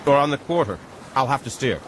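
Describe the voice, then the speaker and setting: An actor plays an earnest gentleman adrift in a small boat in a radio play. His mid-pitched voice moves up and down a lot, with mild, old-fashioned courtesy. Clear noise of boat and water sits behind him. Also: lively intonation, a little fast, close to an everyday voice. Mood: practical. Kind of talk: radio drama acting.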